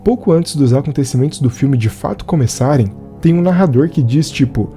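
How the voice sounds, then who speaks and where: A man's voice: on the high side, animated, brisk, dark tone, faint music behind. A man with a Brazilian accent giving a conversational scripted narration as a film reviewer. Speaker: video essayist and film reviewer.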